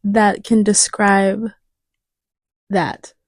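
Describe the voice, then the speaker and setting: A woman's voice: mid-range voice, little pitch movement, drawn-out words, no room sound, clean studio sound. A young woman co-hosting a podcast in casual chat, searching for words and trailing off, with a lingering cough. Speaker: podcast co-host.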